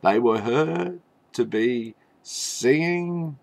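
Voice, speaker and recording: A man telling a mock fairy tale in a theatrical, tongue-in-cheek way, with halting pauses and knowing asides. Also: mid-range voice, expressive, creaky, no echo. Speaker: storyteller.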